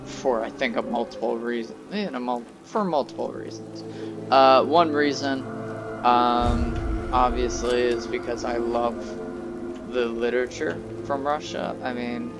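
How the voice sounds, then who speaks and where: A young man talks slowly and loosely over his gameplay, pausing often with fillers. His voice has a gravelly rasp at mid pitch. Game music plays clearly behind him. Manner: rambling, hesitant, many fillers. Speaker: gaming video host. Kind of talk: casual let's play commentary.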